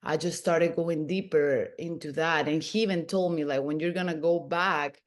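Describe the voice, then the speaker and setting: A woman's voice: deep voice, fairly even intonation, moderate pace. A woman in her thirties from Peru, a podcast guest telling her own story in an earnest way, often restarting her sentences. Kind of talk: casual interview.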